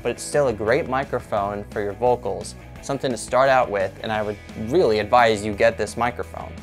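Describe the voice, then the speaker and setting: A man's voice: lowish voice, a little fast. A young man hosting a casual music-gear tutorial, chatty and upbeat, recorded in a small room.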